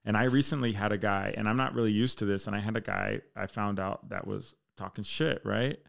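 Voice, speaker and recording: Low, creaky-voiced American man. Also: fairly even intonation, a little fast. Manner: candid, slangy, frequently saying bro and man. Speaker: podcast guest, military fire chief. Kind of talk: casual podcast chat.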